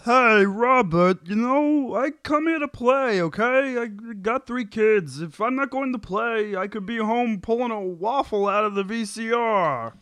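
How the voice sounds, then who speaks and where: A man puts on a placating, wry sitcom husband with a very high, sharp voice. He whines and pleads at an unhurried pace. The sound is dry and close. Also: smooth, dry recording. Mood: defensive, wry. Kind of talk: comic sitcom table read.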